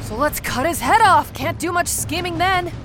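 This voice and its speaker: An actress playing a fierce, impatient shapeshifting monster in a radio drama, blunt and hot-headed. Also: female voice, extremely high pitch, expressive, normal speed, over music. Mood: eager, scornful.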